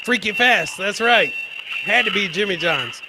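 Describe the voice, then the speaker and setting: A man's voice: high-pitched, lively intonation, measured pace, heavy background noise. A man hosting a live comedy podcast, casually commenting on clips and chatting with viewers in crude, joking slang, often repeating phrases for emphasis.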